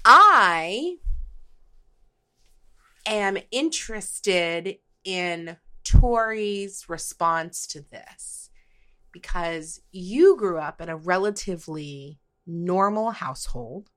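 A woman's voice: lowish voice, expressive, drawn-out words, pushed and bright, dry recording. A woman hosting a podcast in a conversational style, introducing subjects and putting questions to others. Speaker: podcast host.

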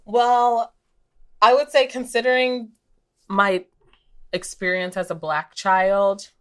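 A woman speaking as a podcast guest, reflective and halting, with long pauses between phrases. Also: medium pitch, level tone. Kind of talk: reflective conversation.

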